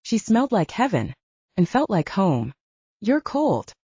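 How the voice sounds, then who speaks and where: A woman's voice: mid-range voice, very animated, moderate pace, dark tone, slightly rough, dry recording, muffled recording. A woman reading scripted first-person fiction as an audiobook narrator.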